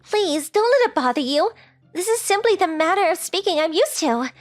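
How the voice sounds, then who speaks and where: A woman's voice: very high-pitched, lively intonation, normal speed, dry recording, soft background music. A woman voicing a polite, shy teenage rich girl in an anime-style dub, with very formal, hesitant phrasing. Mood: composed.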